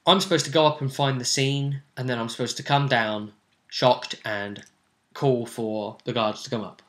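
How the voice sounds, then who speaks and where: A man with a bright, forceful voice at a middle pitch talks as a game master. He recaps a tabletop session casually, with a jokey, theatrical streak. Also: steady tone, normal speed.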